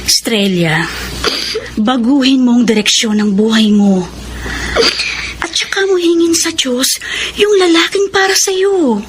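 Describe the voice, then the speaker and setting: A woman's voice: sits high, measured pace, long pauses, slight background noise. A woman acting a caring, devout older cousin in a Filipino radio drama, earnest and urging. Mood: concerned, urging.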